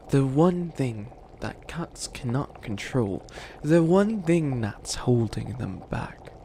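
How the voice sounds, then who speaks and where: A man's voice: mid-range voice, creaky, a little mellow, slight background noise. A man playing a bumbling, dramatic bunny witch who fancies himself evil, rambling and scheming theatrically in a comedic ASMR roleplay.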